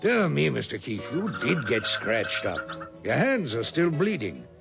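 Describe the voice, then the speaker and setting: An actor playing a genial, sly older colonel in a 1970s radio drama, hearty, fussy and coaxing. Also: male voice, mid-range voice, very animated, measured pace, gravelly. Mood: jovial. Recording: muffled 1970s radio broadcast with music.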